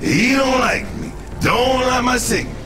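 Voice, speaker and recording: A man's voice: very high voice, a little slow, music in the background. A man playing a defiant anti-establishment street singer in a game, delivering rhymed protest in a sing-song, declamatory way. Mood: grudging. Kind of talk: sung, rhyming protest speech in a game.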